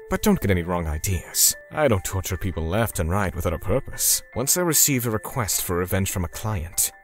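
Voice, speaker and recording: A low-voiced man acting as a grim torturer narrates a manga drama. He is solemn and menacing, with lively swings in his delivery, while music plays faintly behind him. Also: lightly acted. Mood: grim resolve. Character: grim, self-righteous male torturer seeking vengeance for victims.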